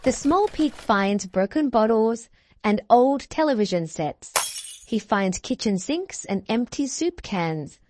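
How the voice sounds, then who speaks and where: A woman reading a children's storybook aloud, deliberately, with drawn-out emphasis on key words. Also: fairly high pitch, lively intonation, darkish tone. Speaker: storybook reader. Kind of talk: expressive children's read-aloud narration.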